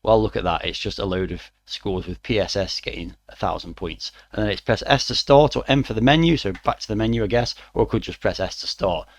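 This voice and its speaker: A middle-aged man with a croaky voice, reviewing a retro game with dry, wry asides. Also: fairly low pitch, a little fast, hardly any pauses. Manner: dry, mildly wry asides with frequent "uh" fillers. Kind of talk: relaxed spoken review.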